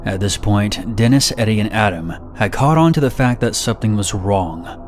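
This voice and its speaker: A man reading first-person horror stories in a steady, measured, somber narration. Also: on the low side, soft background music.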